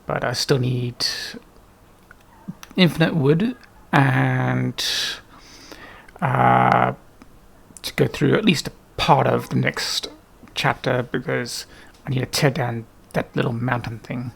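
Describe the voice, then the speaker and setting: A man's voice: mid-range voice, fairly even intonation, slow pace, vocal fry, pauses often, breathy edge. A man giving casual let's play commentary, muttering to himself in short remarks. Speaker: gaming video creator. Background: faint game music.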